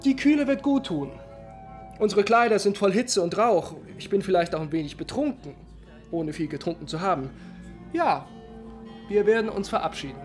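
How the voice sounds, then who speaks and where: Radio drama: tipsy, infatuated young man, higher voice, rapt and confiding. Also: expressive, normal speed, soft background music. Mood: excited, tipsy. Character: lovesick, slightly tipsy young man at a party. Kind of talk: literary radio drama acting.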